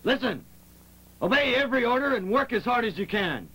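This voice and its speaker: A man voicing a pompous, commanding, bullying henchman of a samurai governor in an animated film. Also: very high voice, a little fast, husky, heavily acted, rough recording. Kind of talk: animated film dub acting.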